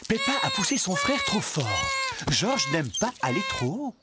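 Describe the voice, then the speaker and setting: A man's voice: very high-pitched, wildly swooping pitch, moderate pace, very noisy, heavily acted. A man narrating a French preschool cartoon in a simple, explanatory storytelling manner. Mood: gently explanatory. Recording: loud cartoon music behind.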